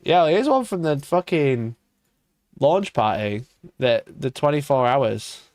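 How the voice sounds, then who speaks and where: A man's voice: mid-range voice, animated, slow pace, darkish tone, dry recording, studio quality. A man in his thirties chatting casually on a live stream, reacting to old clips, swearing and laughing at himself. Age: 30s. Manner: swearing, laughing, self-mocking.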